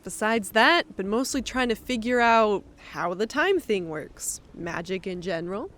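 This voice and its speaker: Wry young-at-heart female tech nerd played by an actress, teasing, very animated delivery, for audio fiction. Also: medium pitch, a little slow. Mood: chipper, casual. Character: bright, wry, tech-obsessed woman gamer. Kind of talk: audio story character acting.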